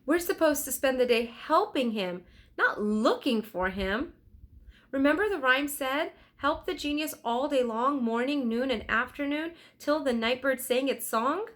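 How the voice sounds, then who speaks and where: An eager, tender-hearted young girl from a storybook, voiced by a woman reading aloud. Her voice sits high and moves up and down a lot, earnest and insistent in every phrase. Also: expressive, unhurried, a bit bright. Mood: earnest, urging.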